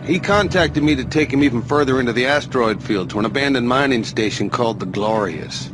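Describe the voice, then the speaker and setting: A man's voice: medium pitch, expressive, fairly quick, close to an everyday voice, rough recording. An actor playing a cocky, swaggering male space racer who taunts with a showy manner, in a game cutscene. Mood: friendly. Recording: game cutscene with loud music.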